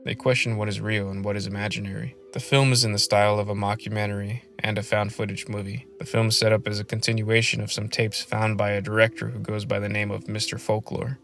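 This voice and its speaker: A man hosting a scripted film review video essay in measured narration. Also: lowish voice, level tone, creaky, fairly bright tone, soft background music.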